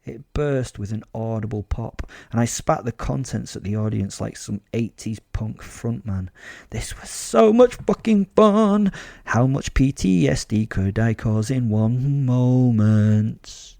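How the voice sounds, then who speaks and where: Male werewolf killer played by a man narrating horror drama: dark mellow tone, gravelly fry, theatrical, crude and gleefully sardonic, dry close sound. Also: mid-range voice, slow pace, no echo. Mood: gleeful, darkly amused. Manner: sardonic, relishing, theatrical, sweary. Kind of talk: first-person dramatic horror storytelling.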